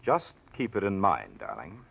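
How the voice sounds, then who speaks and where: An actor playing a proper, controlling husband in 1940s radio drama style, measured and mildly disapproving. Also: male voice, sits low, huge pitch swings, gravelly, slightly rough, heavily acted. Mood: cool, cautioning. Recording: muffled vintage radio recording.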